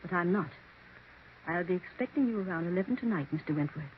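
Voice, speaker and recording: An actress playing a haunted widow who insists on every word. Her delivery is deliberate and dramatic, in vintage radio mystery style, with a thin phone-like band and light hiss. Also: on the low side, animated, natural voice. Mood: firm, defiant. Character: haunted, insistent widowed aunt, firm and strange. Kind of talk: 1940s radio mystery acting. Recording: tinny, hissy 1940s radio recording.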